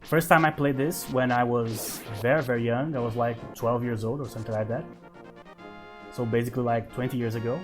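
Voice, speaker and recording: A man in his thirties from Brazil, speaking English with a Brazilian Portuguese accent, talks through a retro game in an easygoing way. He thinks aloud with lots of little fillers, at an ordinary middle pitch, with soft music playing faintly underneath. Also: steady tone, brisk. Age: 30s. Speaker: gaming channel host. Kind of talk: casual let's play commentary.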